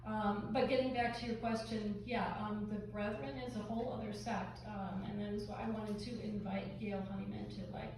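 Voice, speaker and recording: A woman filmmaker answering audience questions after a screening, thoughtful and full of pauses and fillers. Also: medium pitch, little pitch movement, a little mellow. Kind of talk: casual post-screening Q&A. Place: large theater hall, heard from far off. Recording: echoey hall, distant mic, noise.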